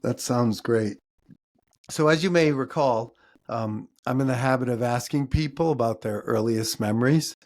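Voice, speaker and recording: A man's voice: mid-range voice, normal speed, darkish tone. A man, hosting a podcast and asking questions in a conversational style. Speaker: podcast host, interviewer. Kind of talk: conversational interviewing.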